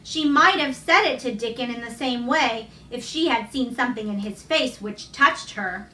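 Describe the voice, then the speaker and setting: A woman reading a children's novel aloud as expressive storybook narration. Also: on the high side, moderate pace. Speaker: storybook reader. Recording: roomy echo, distant microphone.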